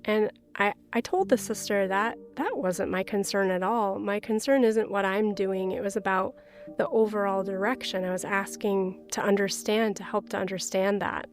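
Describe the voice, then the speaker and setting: Woman, mid pitch, personal testimony, faint music behind. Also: moderate pace. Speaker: religious sister.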